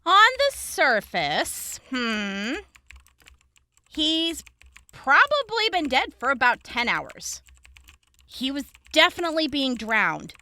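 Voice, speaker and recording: A woman acting a brisk, clinical young examiner in a post-apocalyptic audio drama, explaining gory details frankly. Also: high voice, very animated, pushed and bright, full character voice. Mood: clinical, focused.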